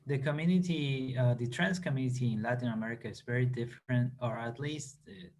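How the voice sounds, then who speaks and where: A man from Argentina, a native Spanish speaker, answering interview questions over a video call, pausing often with fillers and restarts. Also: medium pitch, darkish tone, slight background noise. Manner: halting, many fillers and restarts. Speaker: company representative guest.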